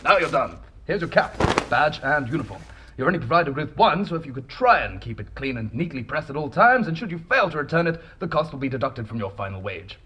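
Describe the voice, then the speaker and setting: An actor playing an officious, fussy company bureaucrat in a comedy audio drama, brisk, gushing and full of jargon. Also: male voice, fairly high pitch, big pitch swings, sharp tone, slight background noise. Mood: chirpy, gushing.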